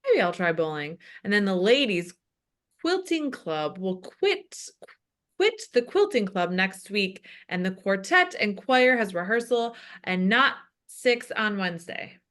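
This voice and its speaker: A woman voicing an older, food-loving male pastor with labored breathing, reading halting announcements at a comedy table read. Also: mid-range voice, very animated, fairly bright tone. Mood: bumbling, eager. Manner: halting, bumbling, muttered asides.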